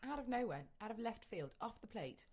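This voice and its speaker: A woman's voice: fairly high pitch, big pitch swings, brisk, darkish tone, slightly rough. A woman co-hosting a podcast, chatting playfully with wordy, jokey turns of phrase. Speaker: podcast co-host. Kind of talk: casual chat.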